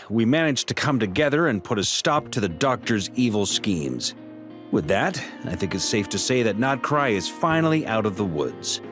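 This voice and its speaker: Roguish knight commander, low animated voice, wry and breezy, game cutscene letter narration over clear music. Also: male voice. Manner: wry, breezy, mock-boastful. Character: roguish, easygoing male knight commander writing home.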